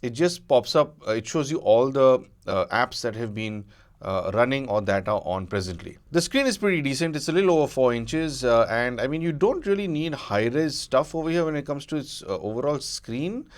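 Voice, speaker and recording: Guy talking thru a tech review, mid pitch voice, measured, bit halting, conversational. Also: expressive. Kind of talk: conversational product review to camera.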